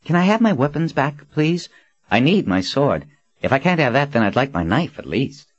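A man's voice: mid-range voice, big pitch swings, normal speed, no room sound, lo-fi sound, pitched above the performer's own voice. A man voicing a cocky young male assassin, boyish and mocking, with falsely polite, offhand talk. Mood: eager.

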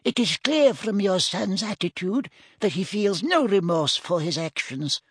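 An officious, indignant male official, put on by a man reading a story. His stern, formal speech is pitched high and swings up and down, with a husky edge. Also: animated, unhurried, no room sound, clean studio sound, heavily acted. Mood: firm, indignant.

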